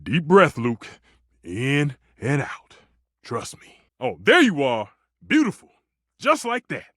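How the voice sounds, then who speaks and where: A living kitchen stove from a video game, a proud and passionate culinary purist. His fairly high voice swings dramatically up and down with theatrical emphasis. The sound is close and dry, and every line is delivered with grandiose conviction. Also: wildly swooping pitch, slow, fairly bright tone, no echo, full character voice. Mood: soothing.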